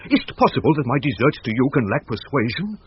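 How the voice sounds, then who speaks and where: Husky, very animated betrayed sea captain. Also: male voice, medium pitch, fairly quick. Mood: hurt, pleading. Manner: grave, declamatory. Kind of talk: impassioned Shakespearean radio acting. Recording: dull, band-limited 1970s radio recording.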